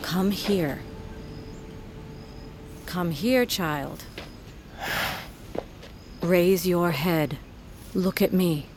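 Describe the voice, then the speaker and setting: An actress playing a solemn, grieving middle-aged mother in a fantasy audio drama, speaking in a measured, weighty storytelling way. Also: on the low side, over music. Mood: grave, grieving. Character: grieving, solemn mother, proud wolf queen's daughter.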